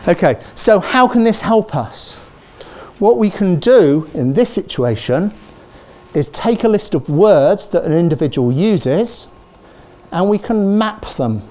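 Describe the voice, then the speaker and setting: A middle-aged British man giving a university lecture, animated, checking in with the audience with "okay?" and "yeah?", recorded on a lapel mic in a large lecture theatre. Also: high-pitched, measured pace. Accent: British English. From Britain.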